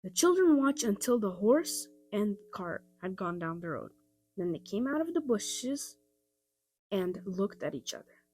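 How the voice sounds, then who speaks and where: A woman narrating a children's audiobook in an expressive storytelling style. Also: medium pitch, unhurried, faint music behind. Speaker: storybook reader.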